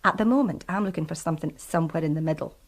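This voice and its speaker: A woman's voice: low-pitched, racing. An actress playing a policeman's weary, middle-aged estranged wife in a radio drama, wry, resigned and firm. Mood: weary, resolute. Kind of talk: naturalistic radio drama acting.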